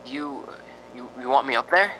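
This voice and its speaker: A nervous man played by an actor hosts from a haunted house in an old-time radio drama. His voice is sharp and tense, and he hesitates and trips over his words. A faint rumble runs under the recording. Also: normal speed, lightly acted, poor audio. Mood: uneasy. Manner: stammering, hesitant, exclaiming. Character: jumpy, frightened male radio host in a haunted house.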